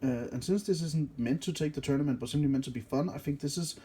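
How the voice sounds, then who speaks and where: Danish-accented man, higher voice, soft mellow tone; loose, self-correcting deck walkthrough. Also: fast. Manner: rambling, self-correcting, many fillers. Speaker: card game deck reviewer.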